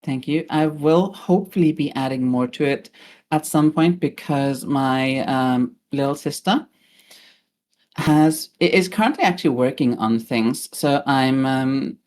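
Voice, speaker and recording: Low-voiced chatty woman from Norway. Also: measured pace, darkish tone, a little hiss or hum. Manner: chatty, laughs easily, plugs her store. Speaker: podcast guest, streamer. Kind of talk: casual podcast chat.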